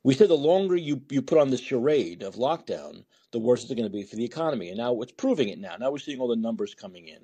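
A male call-in host with a very lively, varied delivery and a dark, relaxed tone. He rambles through opinionated monologues in a blunt, sarcastic way. His voice is a little husky. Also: medium pitch, fast, hardly any pauses. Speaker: host of a call-in talk show. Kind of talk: opinionated live talk radio monologue.

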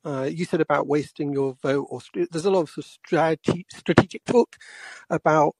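Casting: male caller, forties, from England, soft-spoken and halting, creaky, very dry close sound. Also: a little high, dry recording. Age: 40s. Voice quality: quiet voice. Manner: hesitant, halting. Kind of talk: casual political talk.

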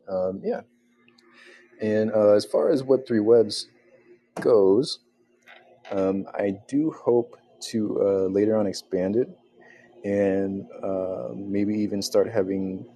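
A man's voice: lowish voice, dark tone, a little hiss or hum. A man hosting a casual solo podcast, rambling with lots of fillers and restarts while making breakfast. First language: not Spanish. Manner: rambling, filler-heavy, self-correcting. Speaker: podcast host.

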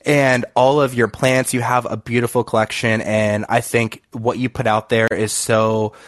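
A man's voice: medium pitch, flat delivery, moderate pace, no room sound, clean studio sound. A man hosting a casual podcast chat, friendly and chatty, his voice a little nasal from allergies. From Florida. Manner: friendly, chatty, with fillers and restarts. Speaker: podcast host.

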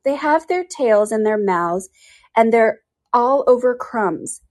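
Woman doing a polite shy curious little girl from a storybook, kinda high voice. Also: expressive, slow, a little mellow. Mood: thoughtful. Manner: polite, careful, hesitant.

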